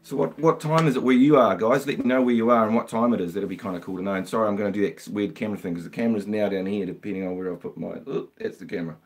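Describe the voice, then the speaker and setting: A middle-aged man with a slightly croaky voice, hosting a casual live guitar stream and chatting with viewers as he reads out their comments. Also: fairly low pitch, fairly even intonation, very fast, hardly any pauses.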